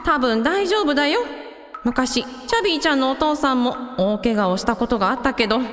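A woman playing expressive, casual-talking members of a village family in a Japanese radio drama. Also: sits high, a little fast. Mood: reassuring. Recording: long reverb with music behind.

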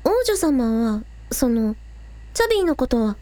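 A woman's voice: on the high side, very animated, a little slow, dark tone, dry recording. A woman playing an earnest, anxious teenage village idol girl in an audio drama. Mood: hesitant, earnest. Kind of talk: Japanese audio drama acting.

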